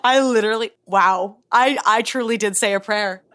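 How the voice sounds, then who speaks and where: A woman's voice: medium pitch, moderate pace, a bit bright, dry recording, studio quality. A woman playing in a tabletop role-playing podcast, chatting casually. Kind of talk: casual game chat.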